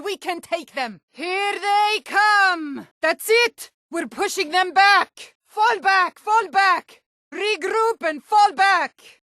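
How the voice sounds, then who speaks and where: A woman's voice: extremely high pitch, a bit bright, dry recording, clean studio sound, heavily acted. An actress voicing a tough, proud Nord woman soldier and guard in a video game, blunt and commanding in manner. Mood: shouted battle orders, then urgent, desperate. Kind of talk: video game character voice lines.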